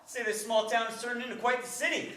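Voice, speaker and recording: A man's voice: extremely high pitch, little pitch movement, fast, a crowd in the background. A man playing a cocky, self-important but awkward young small-town soldier in a stage play. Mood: awkward bragging. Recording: echoey, distant stage recording.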